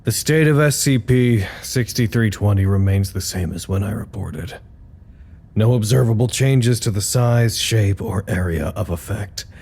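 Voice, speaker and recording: A male communications chief at a secret research site, with a low, soft-edged, dark voice. He reports in a measured, official manner, as in a scripted audio drama. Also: vocal fry, slightly rough, heavily acted. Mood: formal, matter-of-fact. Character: weary male doctor, foundation communications head, dutiful and halting.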